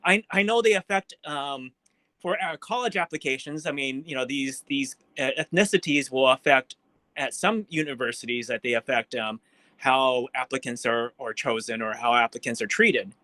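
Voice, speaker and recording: A man on a video call asking probing questions, halting, with frequent restarts and fillers. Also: mid-range voice, bright, forceful tone, dry recording. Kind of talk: questioning at a forum.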